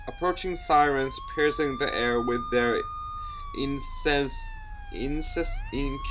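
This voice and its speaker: A man's voice: mid-range voice, background noise. A man hosting a let's play, reading visual novel text aloud with commentary and often tripping over words and repeating them. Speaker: gaming video host.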